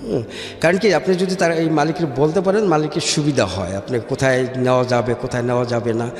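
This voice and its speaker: A man's voice: medium pitch, brisk. A man with a Bangladeshi accent recounts his life story in an interview, dropping in English words and checking that the listener follows. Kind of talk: personal storytelling in a radio interview. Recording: echoey reverberant room with music behind. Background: background music.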